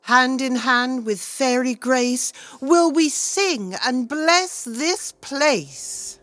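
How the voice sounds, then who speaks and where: A woman's voice: high-pitched, drawn-out words, a bit bright, dry recording, full character voice. A woman playing a graceful fairy queen, reciting lilting verse in a radio play. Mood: gracious. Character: graceful fairy queen leading song and blessing.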